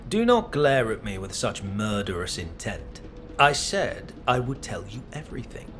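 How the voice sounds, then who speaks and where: An actor playing a composed, calculating male scientist and war leader with formal, measured diction in a video game. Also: fairly low pitch, sing-song, music in the background. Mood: stern, calming. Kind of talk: dramatic video game voice acting.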